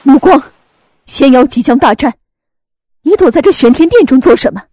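A woman's voice: high voice, lively intonation, moderate pace, dark tone, no room sound, clean studio sound. A woman acting a haughty celestial empress in an audio drama, imperious and reproachful.

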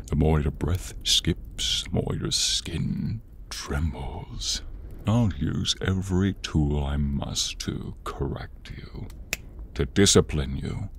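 A man playing a tall horned demon in an ASMR roleplay, breathy and gravelly, menacing and theatrical with a comic edge. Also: a bass voice, a little hiss or hum, full character voice. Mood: commanding, menacing. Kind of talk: ASMR roleplay, slow dramatic delivery.

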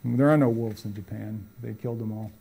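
A man's voice: lowish voice, fairly even intonation, a little fast, creaky, mellow, slightly rough. A middle-aged man giving a college lecture in a brick-walled room, talking conversationally with many fillers and restarts. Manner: gestures broadly while talking. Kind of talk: public lecture with slides.

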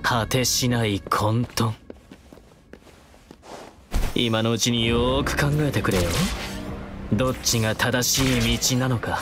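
A man voicing a flamboyant, menacingly playful young villain in a game, with taunting, singsong, drawn-out phrasing. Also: mid-range voice, fairly even intonation, lightly acted. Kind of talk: theatrical game dialogue and dark fable narration. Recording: loud music over the voice.

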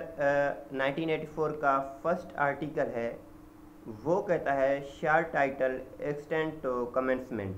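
Slow-talking young Pakistani man lecturing. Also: mid-range voice. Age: young adult. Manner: halting, explanatory, repeats points in simpler words. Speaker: law lecturer. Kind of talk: informal recorded lecture mixing in English terms. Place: small indoor office. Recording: dull lo-fi audio with background noise.

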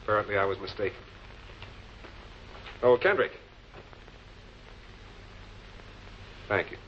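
An actor playing a composed, authoritative prosecutor in a 1940s television crime drama, speaking in a measured, deliberate, commanding way. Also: male voice, lowish voice, animated, close to an everyday voice. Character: composed, authoritative male public prosecutor cornering murder suspects. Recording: muffled, noisy old film soundtrack.

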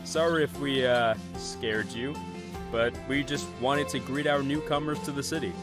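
Casting: boisterous, friendly brother played by a man, mid-pitched, casual, music behind him. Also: steady tone, moderate pace, lightly acted. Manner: chummy, casual. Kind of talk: animated comic dub acting.

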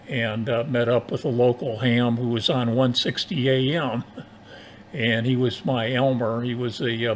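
Older man, American accent, mid-pitched, halting casual radio chat, faint background noise. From United States. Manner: halting, throat-clearing delivery. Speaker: amateur radio operator. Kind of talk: casual ham radio conversation.

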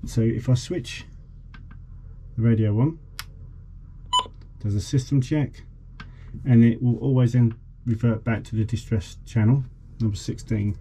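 A man's voice: medium pitch, fairly even intonation, unhurried, pauses often, dark tone, slight background noise. A man chatting casually as a vlogger, showing and explaining things informally. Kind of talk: casual vlog chat and informal demonstration. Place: outdoors at a marina, partly aboard a boat.

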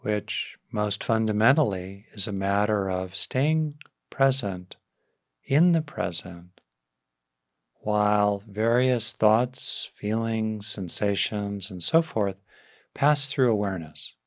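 Slow, low-pitched man guiding a meditation. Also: expressive, no room sound, studio quality. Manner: gentle, soft-spoken, with long pauses between short phrases. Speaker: meditation teacher. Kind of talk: guided meditation.

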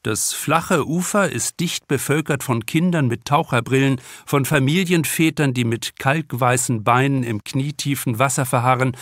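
A man's voice: mid-range voice, normal speed, few pauses, a bit bright, dry recording, clean studio sound. A man narrating a novel as an audiobook, reading scripted prose as its author.

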